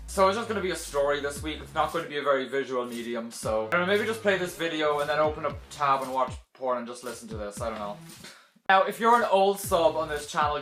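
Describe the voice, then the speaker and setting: A young man telling a casual vlog story to camera in a dramatic, animated way, with sarcastic, self-deprecating asides. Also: on the high side. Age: young adult. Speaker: video blogger telling a personal story. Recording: roomy echo.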